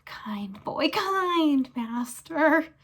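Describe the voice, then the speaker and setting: A woman voicing a cowardly man groveling for his life, squeaky and whimpering, stammering as they plead in a storybook reading. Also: big pitch swings, extremely slow, a bit bright, full character voice. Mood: frantic begging. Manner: stammering, imploring.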